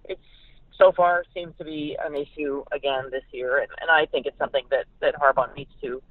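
A woman's voice: low-pitched, fairly even intonation, a little fast, no room sound. A woman with an American accent giving emphatic, opinionated sports analysis as a journalist guest, over a phone-like line. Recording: phone-like narrow-band radio sound.

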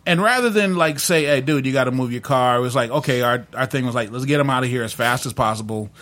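A man co-hosting a casual podcast chat, telling stories in a halting, stammering way with many repeats. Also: mid-range voice, fairly bright tone. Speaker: podcast co-host.

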